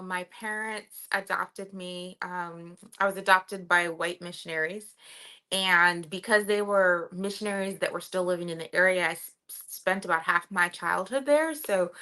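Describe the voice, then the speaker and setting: Young woman from Cameroon, bright tone, chatty podcast guest. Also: on the low side, fairly even intonation, a little slow, clear, resonant tone. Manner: chatty, many fillers, laughs while telling her story. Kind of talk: casual podcast interview.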